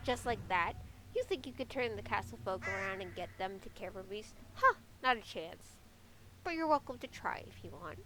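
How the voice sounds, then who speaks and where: A woman's voice: mid-range voice, expressive, normal speed, pushed and bright, a little hiss or hum. A woman voicing a wary, world-weary forest witch from a fantasy game, with a sceptical, foreboding manner.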